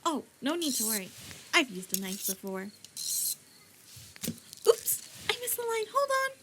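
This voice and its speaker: A woman voicing a bubbly, naive young shopkeeper who is excitable, chatty and easily torn between choices in a roleplay voice pack. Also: sits high, measured pace, slight background noise, full character voice. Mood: playful.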